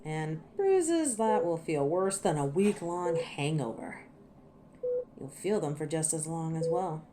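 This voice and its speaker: A woman's voice: lowish voice, background noise. A woman playing a cocky, teasing werewolf in a scripted audio roleplay, sardonic and protective with an edge of menace, speaking to the listener. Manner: sardonic, taunting, playful.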